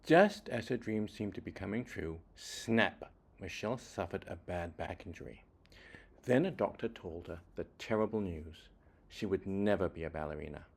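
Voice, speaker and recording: A man reading a children's story aloud expressively, with deliberate phrasing and dramatic emphasis. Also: deep voice, gravelly, slightly rough. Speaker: children's book reader.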